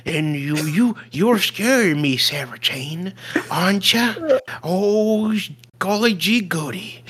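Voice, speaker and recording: A man's voice: high voice, lively intonation, slow, a bit bright, heavily acted. A man doing a folksy Southern clown. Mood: hammy. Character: hammy male clown with put-on Southern drawl.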